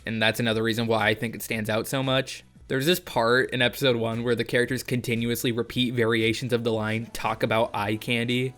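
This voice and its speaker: A man talks through a video essay in a mid-pitched voice. He is casual, sardonic and sweary, with faint music underneath. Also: level tone, a little fast, hardly any pauses, fairly bright tone. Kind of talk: casual, jokey video commentary. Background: faint background music.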